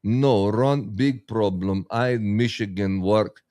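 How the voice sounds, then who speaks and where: A man's voice: fairly low pitch, slow, warm tone, no room sound, clean studio sound. A man voicing a grumbling Hungarian male worker who complains in broken English with a Hungarian accent and curses a lot. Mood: complaining.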